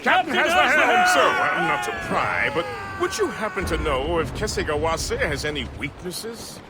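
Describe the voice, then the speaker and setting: An actor playing an eager, deferential ship's mate in a video game, chatty and inquisitive. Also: male voice, on the high side, big pitch swings, normal speed, a loud crowd. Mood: brisk, hearty. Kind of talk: video game dialogue acting. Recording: loud sea and wind noise.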